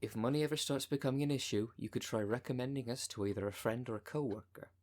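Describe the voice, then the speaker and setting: A man's voice: medium pitch, fast talker, a little mellow, natural voice. A man voicing a scheming female cult recruiter in an audio roleplay, speaking with formal, businesslike politeness and dry condescension. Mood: polite, businesslike.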